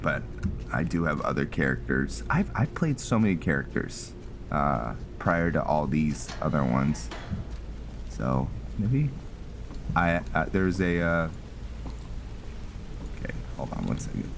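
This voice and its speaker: A man with an extremely deep voice talks through a game he is playing. His commentary is casual and rambling, hesitant as he thinks aloud. There is clear noise and ambience behind him. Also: very animated, a little slow, pauses often. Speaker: gaming host, roleplayer.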